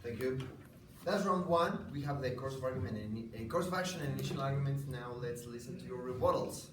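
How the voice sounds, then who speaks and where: A man's voice: medium pitch, fast talker. Guy running a student debate, short remarks, echoey and noisy. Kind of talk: brief moderating remarks. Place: classroom. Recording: echoey, noisy room, distant mic.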